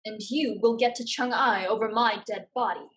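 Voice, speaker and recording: A woman's voice: fairly high pitch, fairly even intonation, normal speed, a bit bright, lightly acted. A woman playing a fierce, defiant pirate swordfighter in a staged play reading, tough and terse.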